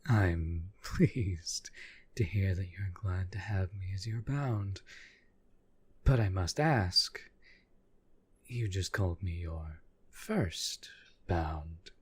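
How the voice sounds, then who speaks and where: Man voicing a deep, husky, possessive demon. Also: lively intonation, no echo, heavily acted. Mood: puzzled, suspicious. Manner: formal, measured, menacing yet deferential. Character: proud, possessive, devoted demon bound to a sorcerer master. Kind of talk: scripted roleplay audio drama monologue.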